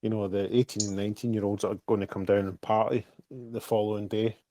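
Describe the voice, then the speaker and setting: A man's voice: lowish voice, little pitch movement, fairly quick, mellow, no echo. A man with a Scottish accent answering questions on a casual group call, speaking frankly and repeating words as he thinks. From Scotland. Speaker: project co-founder answering questions.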